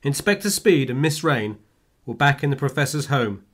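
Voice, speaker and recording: A man's voice: mid-range voice, expressive, normal speed, fairly bright tone, breathy edge. A man reading scripted dramatic narration for a radio play. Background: music.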